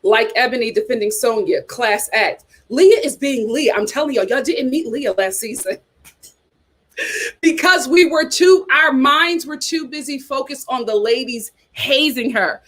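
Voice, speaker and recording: Host, woman, lively, emphatic, fairly high-pitched chat voice. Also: measured pace. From New York. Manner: animated and emphatic, lots of "you know". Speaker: host of a reality TV chat channel. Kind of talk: casual reaction chat reading viewer comments.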